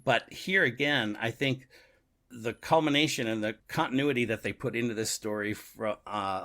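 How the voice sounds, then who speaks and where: A man's voice: mid-range voice, fairly bright tone. A man co-hosting a podcast in casual, reflective conversation, often repeating himself for emphasis. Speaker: podcast co-host. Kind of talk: casual discussion of an audio drama.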